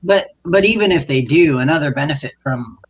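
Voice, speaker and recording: A man's voice: fairly high pitch, animated, fairly quick, breathy edge, poor audio. A man on a live panel talk show who rambles through his points with many restarts. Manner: rambling, full of fillers and restarts.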